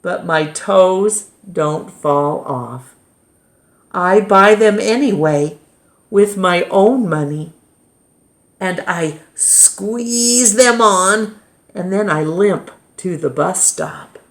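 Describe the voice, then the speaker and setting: A woman reading a children's picture book aloud as storytime reader, with dramatic pauses and emphasis. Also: fairly low pitch, lively intonation.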